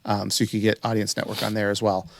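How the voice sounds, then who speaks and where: A man's voice: fairly low pitch, monotone, fast talker, creaky, dry recording. A man co-hosting a podcast, chatting casually about shows in a conversational way. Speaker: podcast co-host.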